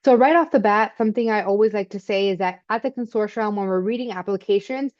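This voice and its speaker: A woman hosting a webinar on a video call, speaking in a relaxed, conversational way with easy fillers. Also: medium pitch, fast talker, highs cut off. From Miami. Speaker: webinar host.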